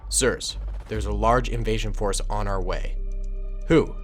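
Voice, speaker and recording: A man's voice: fairly low pitch, unhurried, soft background music, voice pushed down, lightly acted. A man voicing dutiful clone soldiers and commanders in a fan war story, with clipped military delivery. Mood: businesslike. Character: brisk, dutiful male clone army officers and soldiers.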